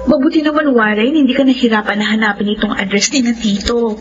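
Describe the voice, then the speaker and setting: A woman's voice: on the high side, fairly even intonation, fast, over loud music, natural voice. A woman acting a chatty, friendly young woman in a drama, with a Filipino accent. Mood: cheerful. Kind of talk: drama dialogue. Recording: muffled recording with clear noise.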